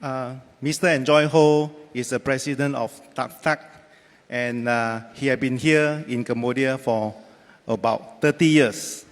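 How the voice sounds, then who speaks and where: A man renders a panelist's words into English at a conference, speaking steadily with the odd small hesitation. His mid-pitched voice rings out in a reverberant hall. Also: fairly even intonation, measured pace. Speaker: panel interpreter. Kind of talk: consecutive interpreting.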